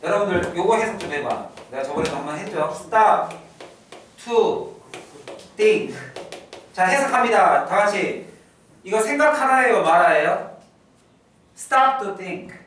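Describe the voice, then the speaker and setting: High-pitched guy teaching english, lively voice, drills phrases slow, room echoes a lot, mic far away. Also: slight background noise. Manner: animated, repeats key phrases slowly, drills students. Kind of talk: exam prep lecture.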